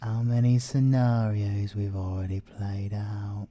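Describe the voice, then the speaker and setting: A British man playing a possessive, scheming siren in an ASMR audio roleplay, seductive and theatrical, with drawn-out words and whispers. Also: fairly low pitch, level tone, dark tone, no echo. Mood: obsessive, gloating. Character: possessive, scheming male siren, obsessive lover and villain.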